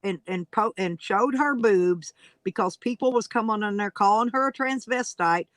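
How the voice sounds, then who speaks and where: A woman's voice: medium pitch, moderate pace, a bit bright, no echo, studio quality. A woman in her fifties or sixties from Australia, chatting in an outspoken, emphatic way. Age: 60s. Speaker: guest streamer. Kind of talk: casual chat on a livestream.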